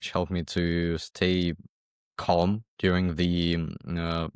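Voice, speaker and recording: Low-voiced young man from Ukraine. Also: little pitch movement, slow pace, darkish tone, clean tone, dry recording, studio quality. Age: young adult. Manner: hesitant, repeats words, many fillers. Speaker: interview guest, former exam candidate. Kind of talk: casual interview talk.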